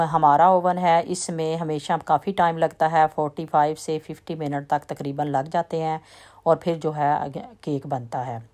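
A woman with a slight lilt, chatting in a relaxed way as she walks through a home baking recipe step by step. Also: on the low side. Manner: relaxed, chatty, trails off softly at sentence ends. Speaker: home cooking vlogger. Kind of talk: casual step-by-step baking talk.

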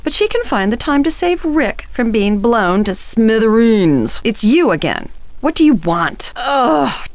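A haughty TV studio woman from a mystery video game. She is scornful and condescending, dripping sarcasm. Her medium-pitched voice swings widely, in a dry recording. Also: no echo.

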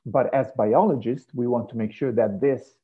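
A man's voice: medium pitch, steady tone, moderate pace. A middle-aged man from Rome with an Italian accent, giving an online biology lecture from home, hesitant, with many fillers and restarts. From Italy, Rome. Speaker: marine biology professor. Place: home room with a piano. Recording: gravelly, tinny video call audio.

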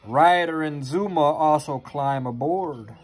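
An expressive reader with a sing-song delivery, reading a children's picture book aloud into a phone at home. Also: mid-range voice, slow pace, faint music behind. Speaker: storybook reader. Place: carpeted playroom at home. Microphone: handheld phone near the reader.

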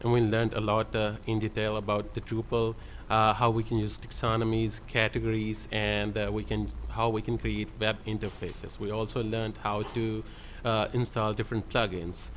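A man with a lowish voice gives an earnest, halting panel talk, leaning on "uh" and "you know" as he goes. He speaks into a table mic at a conference hall, with faint room noise behind him. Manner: hesitant, many fillers, steady and earnest. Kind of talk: panel presentation. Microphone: table microphone.

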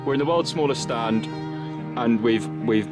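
A man's voice: medium pitch, moderate pace. A man, chatting and joking as he hosts a vlog from a small outdoor football stand. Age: 20s. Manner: jokey, animated. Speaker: vlog host, football fan. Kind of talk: casual chat and banter at a football match. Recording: loud music over the voice.

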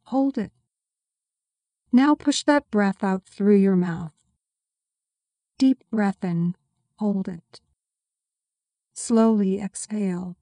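A woman reading a scripted guided meditation as the guide, with measured, instructional delivery. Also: medium pitch, warm tone, no room sound.

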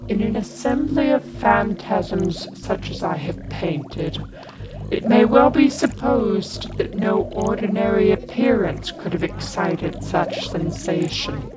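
Female narrator for gothic horror, theatrical and very animated in pitch, unhurried, set over prominent loud music. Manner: slow, theatrical, with whispered and echoed word repeats. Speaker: reader of a gothic horror story. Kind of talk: dramatic scripted narration. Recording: reading mixed with loud music.

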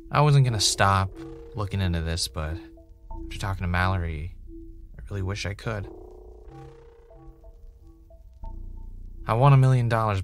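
An actor playing a jaded former reality show winner turned podcaster, blunt and world-weary, in an audio drama. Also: male voice, low-pitched, close to an everyday voice. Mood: drained, downcast. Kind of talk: audio drama dialogue and confessional narration.

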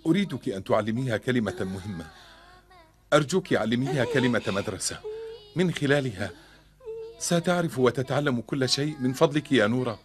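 A man's voice: mid-range voice, animated, brisk, lightly acted. A man playing a loving, devout middle-aged father in a dubbed cartoon, speaking with heartfelt earnestness. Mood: pleading. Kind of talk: dubbed cartoon drama acting.

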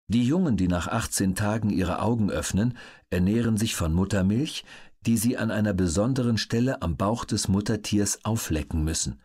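A man narrates an educational audiobook in a fairly low voice with a husky grain. He reads the script in a measured, matter-of-fact manner. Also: darkish tone.

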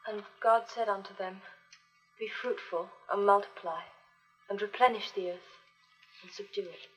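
A young actress playing an earnest, questioning Puritan daughter, formal and measured in speech. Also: female voice, medium pitch, steady tone, a bit bright, soft background music, lightly acted, poor audio. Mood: dutiful reading. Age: young adult. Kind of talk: period drama film acting.

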